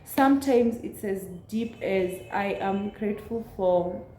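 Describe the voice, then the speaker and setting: A woman in her twenties, raspy from a cold, chatting earnestly to camera in a vlog. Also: medium pitch, mellow, reverberant, slight background noise, poor audio. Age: 20s. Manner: earnest, affirming, unhurried. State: recovering from a cold. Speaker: vlogger. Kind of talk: casual chat to camera.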